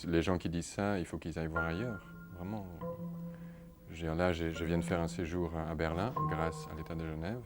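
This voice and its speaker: Halting deep-voiced man, music behind. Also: expressive. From Netherlands. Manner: halting, many repeated words and fillers. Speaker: artist interviewee. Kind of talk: hesitant interview answers.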